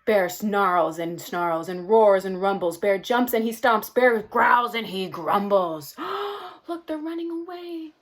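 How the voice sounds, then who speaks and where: A woman reading a picture book aloud to children, expressive, with gasps and chatty asides. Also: mid-range voice, slow pace, hardly any pauses. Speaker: storybook reader.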